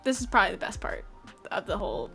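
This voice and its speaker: A woman's voice: medium pitch, big pitch swings, fast, fairly bright tone, soft background music. A young woman in her twenties giving a casual, sarcastic anime review from her bedroom, swearing and laughing often into a desk microphone. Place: bedroom at home. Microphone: desk microphone in front, webcam.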